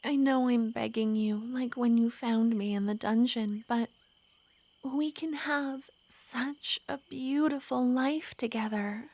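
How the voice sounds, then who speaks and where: A woman voicing an ancient, lovesick female vampire who pleads softly and slips into whispers, in an ASMR roleplay. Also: fairly high pitch, slow, no room sound. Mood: pleading. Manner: soft, pleading, drifting into whispers.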